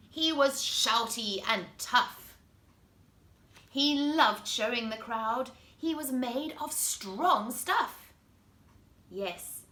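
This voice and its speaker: A woman's voice: high-pitched, bright, forceful tone. A woman from South Africa with a South African accent reads a picture book aloud to children, expressively and addressing them directly. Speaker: children's story reader. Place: living room indoors. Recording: echoey room with background noise.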